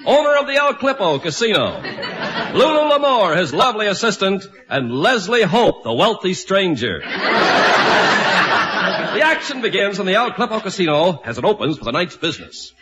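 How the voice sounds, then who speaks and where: A man's voice: high-pitched, sing-song, normal speed, heavy background noise. A man announcing an old-time radio comedy in a grand, mock-dramatic introduction. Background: music.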